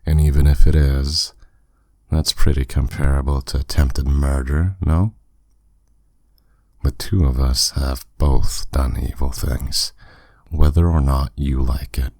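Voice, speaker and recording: A man's voice: very deep voice, steady tone, takes it slowly, darkish tone, dry recording, full character voice. A man playing a dominant, suave demon lord in an intimate roleplay audio drama, speaking in a commanding, condescending, teasing way. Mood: smug, reasoning.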